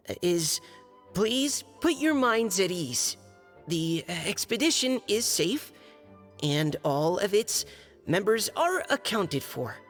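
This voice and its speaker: A man's voice: high-pitched, fairly bright tone, exaggerated voice. A man playing a shy, earnest, boyish young surveyor in a video game, stammering and formal, in a reverberant hall. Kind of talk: video game character acting. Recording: reverberant hall, faint music.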